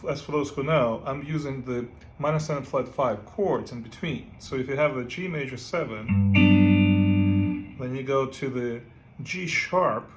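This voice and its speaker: Man teaching guitar. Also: medium pitch. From Ukraine. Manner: halting, many fillers and "right?" checks. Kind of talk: casual music lesson with playing. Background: guitar playing.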